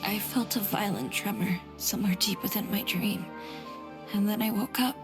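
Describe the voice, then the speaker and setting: A woman's voice: mid-range voice, moderate pace, close to an everyday voice. A woman voicing a reflective townsperson in a video game. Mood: pensive. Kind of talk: video game character acting. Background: music.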